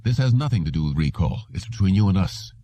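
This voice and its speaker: A man voicing a calm, menacing policeman of the future in an audiobook, measured and smug. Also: deep voice, no echo, heavily acted. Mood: coolly menacing.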